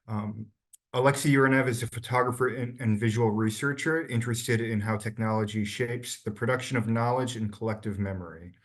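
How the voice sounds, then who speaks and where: A man's voice: mid-range voice, steady tone. A man hosting an online talk, who opens hesitantly and then reads out the guest's biography. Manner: hesitant at first, then reading a bio briskly. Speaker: host introducing a guest. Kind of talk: reading a guest introduction.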